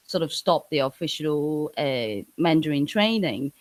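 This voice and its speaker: A woman from Hong Kong, a native Cantonese speaker with a Hong Kong English accent, talking casually as an interview guest, pausing and restarting often. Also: deep voice, expressive, dry recording. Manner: hesitant, many restarts and fillers.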